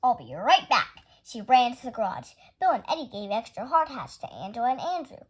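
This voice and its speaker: A woman reading a children's storybook aloud as the storytime narrator, in an animated style. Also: a little high, a little fast. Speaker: storytime reader.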